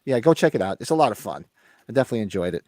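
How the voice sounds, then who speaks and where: A man's voice: on the low side, big pitch swings, racing, a little mellow, dry recording, clean studio sound. An American man hosting a casual chat, rambling and blunt with some swearing, his voice recovering after being lost. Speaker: podcast host. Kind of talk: casual chat about horror movies.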